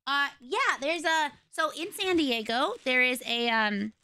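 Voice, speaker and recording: High bright raspy-voiced young woman streamer. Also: a little slow, a little hiss or hum. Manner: chatty, rambling, retells conversations. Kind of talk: casual chat to stream viewers.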